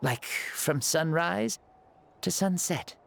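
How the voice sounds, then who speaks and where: An actor playing a boastful ancient warrior spirit in a youth's body, speaking theatrically in a video game. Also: male voice, a little high, lively intonation, slow, creaky, fairly bright tone, exaggerated voice. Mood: jaunty, breezy. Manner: theatrical, jaunty storytelling. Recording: game music behind the voice.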